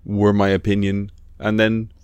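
A man's voice: lowish voice, monotone, a little slow, darkish tone, clear, resonant tone, no echo. A man co-hosting a podcast in an easygoing, teasing way, with lots of fillers as he talks. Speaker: podcast co-host. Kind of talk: casual chat.

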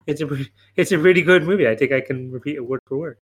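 A man's voice: on the high side, fast talker, breathy edge. A man on a podcast panel chatting casually with a dry, joking delivery. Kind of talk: casual banter.

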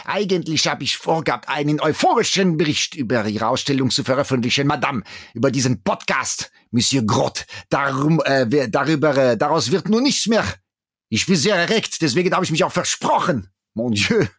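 A man's voice: mid-range voice, animated, moderate pace, fairly bright tone, breathy edge, dry recording, studio quality, voice pushed up. A man voicing an indignant, agitated art critic with a French accent and a squeezed, pinched voice. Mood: agitated, flustered.

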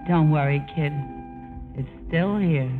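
A woman's voice: deep voice, slow pace. A woman playing a blunt, wisecracking, big-hearted nurse in a 1950s radio drama. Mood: firm, comforting. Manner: blunt, slangy, reassuring. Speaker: actress and narrator. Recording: muffled old radio recording, music behind.

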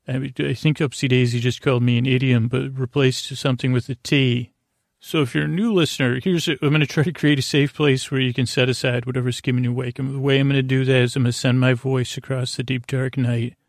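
A man with a creaky voice hosting a bedtime podcast, talking in a meandering, halting, digressive monologue meant to lull listeners to sleep. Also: medium pitch, flat delivery, no room sound, studio quality. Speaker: podcast host.

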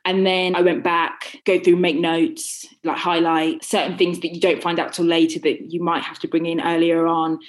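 A woman with a slightly low voice answers audience questions about her audiobook narration. She is chatty and relaxed, laughs often, and breaks off mid-sentence to start again. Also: moderate pace, few pauses. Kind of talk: casual Q&A chat.

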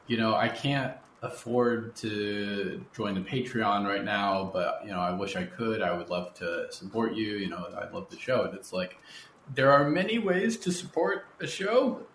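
Lowish-voiced man in an echoey, noisy room. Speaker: podcast host and fiction creator. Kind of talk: conversational interview talk. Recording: roomy echo, clear background noise.

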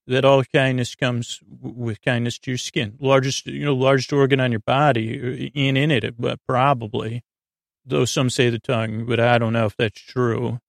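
A man hosting a bedtime podcast in a meandering, halting way, with improvised digressions and words that trail off unfinished. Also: medium pitch, little pitch movement, creaky, no room sound, clean studio sound. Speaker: podcast host. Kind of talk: rambling improvised bedtime monologue.

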